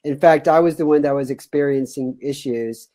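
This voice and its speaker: A man's voice: mid-range voice, normal speed, mellow. A man in his thirties hosting a webinar over a video call from a room at home, upbeat and loose, with many fillers. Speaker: webinar host.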